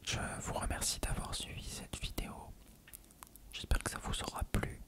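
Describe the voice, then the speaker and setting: An ASMR host who speaks entirely in an unvoiced whisper. The delivery is measured and explanatory, like an encyclopedia article being read aloud for a listener. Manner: measured, explanatory, reading from text.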